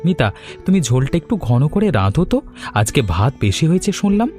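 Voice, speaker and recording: Man playing a lively-toned, devoted Bengali son. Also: on the high side, moderate pace, faint music behind. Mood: pleased. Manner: affectionate, expressive. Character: Bengali family man, devoted son, contented food lover. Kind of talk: animated moral story voice acting.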